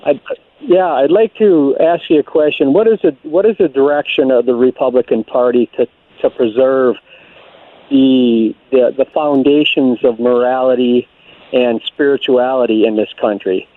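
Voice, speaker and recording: An American man calls in to a radio show over a tinny phone line. His voice sits at a middle pitch, and he words his question haltingly, sounding concerned. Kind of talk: unscripted call-in question.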